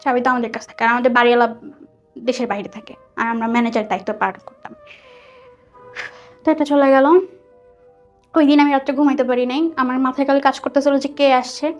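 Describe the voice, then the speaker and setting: High-pitched Bangladeshi woman storyteller. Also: fairly even intonation, brisk, long pauses, highs cut off. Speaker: guest telling a personal story. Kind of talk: conversational personal storytelling. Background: soft background music.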